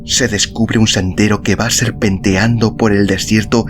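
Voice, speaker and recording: A man reading a literary story as an audiobook narrator, in a measured, solemn, dramatic style with deliberate pauses. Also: on the low side, flat delivery. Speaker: audiobook narrator and podcast host. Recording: music behind the voice. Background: background music.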